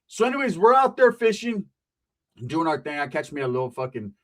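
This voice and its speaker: A man's voice: on the high side, sing-song, fairly quick, fairly bright tone. A man telling a rambling, profane comic story about family, tagging his lines with "right?".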